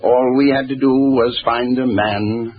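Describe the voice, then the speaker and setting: A man's voice: mid-range voice, fairly even intonation, unhurried, lightly acted. An actor playing a terse, urgent male police inspector in 1940s radio crime drama, clipped and dramatic. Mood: grim, measured. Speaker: narrator and lead actor. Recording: muffled old radio recording with music.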